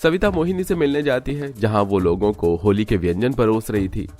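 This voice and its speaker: Low-voiced man narrating a story. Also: animated, brisk, a little mellow, soft background music.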